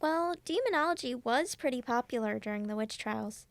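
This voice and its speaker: Woman acting as upbeat student pal, high-pitched, frequent vocal fry, casual, supportive, audio drama dialogue. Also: animated, normal speed, no echo. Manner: casual, encouraging. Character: friendly, easygoing student friend, supportive and upbeat.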